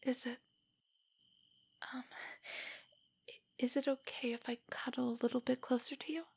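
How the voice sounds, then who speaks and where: A woman performs a nerdy young girlfriend in an intimate audio drama. Her voice is fairly high and breathy, often slipping close to a whisper. She is nervous, self-doubting and affectionate, hesitating and stammering as she speaks. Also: level tone, normal speed, full character voice. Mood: shy, affectionate.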